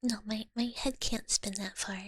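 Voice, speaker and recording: A young woman whispering softly to her stream chat in an ASMR style, giggling often. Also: on the high side, fairly even intonation, moderate pace, husky, no room sound. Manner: soft whisper with frequent giggles.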